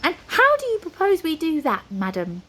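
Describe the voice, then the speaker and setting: Storybook wizard, male, played by a woman: pompous and flustered, very high voice, formal turns of phrase. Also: huge pitch swings, normal speed, a bit bright, slight background noise, full character voice. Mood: pompous, anxious. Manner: hesitant, formal, exclamatory.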